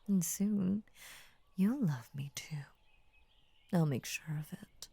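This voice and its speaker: Woman doing a creaky low-voiced yandere lamia, vain n obsessively devoted, soft near-whispers, close dry roleplay audio. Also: no room sound, exaggerated voice. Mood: adoring, obsessive. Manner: soft, breathy near-whispers, halting, grandiose formal phrasing. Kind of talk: intimate roleplay audio acting.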